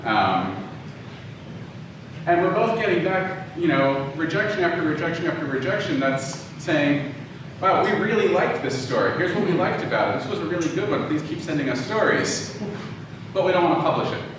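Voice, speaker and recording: A man with a mid-pitched voice gives an informal, story-led lecture. He is conversational and emphatic. The recording is heavily echoey and picked up from a distance, with clear noise behind him. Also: expressive, moderate pace. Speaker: audio drama producer giving a lecture. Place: live talk in a reverberant room.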